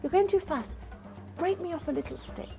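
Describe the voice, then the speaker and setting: A woman voicing a sly tiny male spider trickster who fakes weakness, in a thin high whispery voice. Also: fairly quick, vocal fry, dark tone, faint music behind. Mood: weak whimpering.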